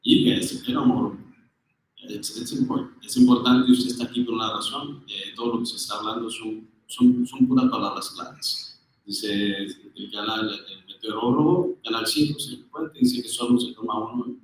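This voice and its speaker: A man's voice: fairly low pitch, a little fast. A man speaks earnestly and emphatically to an audience, leaning on fillers as he makes his points. He sounds distant from the microphone in a very echoey room. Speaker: official speaker at a business briefing. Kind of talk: public address switching between languages. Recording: echoey room, far from the mic.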